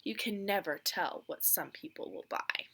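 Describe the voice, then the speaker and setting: A greedy male businessman from a storybook rattles off an eager sales pitch. A woman voices him brightly and forcefully. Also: moderate pace, slight background noise, natural voice.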